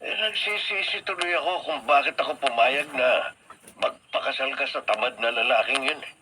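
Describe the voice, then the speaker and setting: A man's voice: mid-range voice, normal speed, sharp tone. A man playing a stern but caring elderly Filipino father and grandfather in a radio drama. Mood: regretful, protective. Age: older. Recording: noisy radio drama broadcast.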